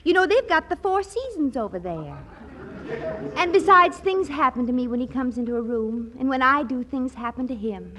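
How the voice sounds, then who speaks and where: A woman's voice: high voice, animated, moderate pace, fairly bright tone, some chatter behind. An actress playing a sweet, guileless young woman with an American accent in a 1940s radio comedy. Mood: earnest. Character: naive, sweet, earnest young woman in love. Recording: dull, noisy 1940s radio recording.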